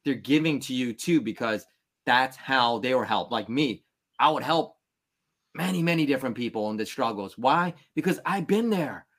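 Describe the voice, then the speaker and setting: A man's voice: medium pitch, lively intonation, normal speed, a bit bright. A man in his thirties, born in Vietnam, talking as a podcast guest in an earnest, emphatic, motivational way. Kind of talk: candid interview talk.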